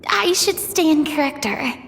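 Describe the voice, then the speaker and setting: A woman voicing a tiny childlike fairy companion, excitable and easily startled, in an anime video game. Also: sits high, moderate pace, vocal fry, noisy background. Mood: unsure. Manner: refers to self by name, gasps and stammers.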